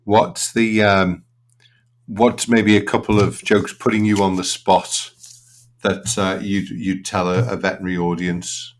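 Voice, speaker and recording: A man hosts a veterinary podcast over a video call. His voice sits low, and he talks in an easy, rambling way, with plenty of ums and side remarks between his questions. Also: a little slow. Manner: relaxed and meandering, with many ums and asides. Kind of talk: conversational interview hosting.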